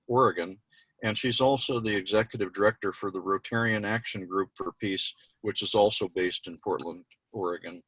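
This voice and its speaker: A man introducing a keynote speaker on a webinar from a mobile office, talking in a halting way with many fillers. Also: lowish voice, fairly bright tone, no echo, rough recording. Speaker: event host introducing a speaker. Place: mobile office with street noise around.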